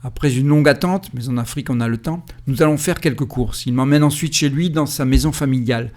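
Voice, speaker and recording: A man calmly telling the story of his cycling trip in the first person, with a reflective, storytelling way of speaking. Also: mid-range voice, brisk. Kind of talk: first-person travel narration. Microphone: voice-over recorded separately.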